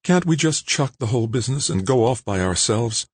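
A man's voice: medium pitch, animated, a little fast, slightly rough, dry recording, voice pushed up. A man voicing a worried grown woman who pleads earnestly, in an audiobook. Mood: pleading. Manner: anxious, pleading.

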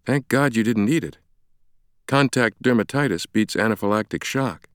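A man voicing a brisk, blunt woman doctor in an audiobook, direct, assertive and matter-of-fact. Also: on the low side, clear, resonant tone, dry recording, clean studio sound, pitched above the performer's own voice, natural voice. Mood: probing. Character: brisk, blunt young woman doctor who takes charge.

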